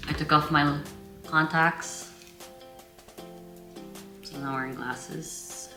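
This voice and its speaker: A woman vlogger with a slightly hoarse, tired voice, chatting casually. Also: sits low, steady tone, takes it slowly, echoey room, music in the background. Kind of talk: casual vlog chat.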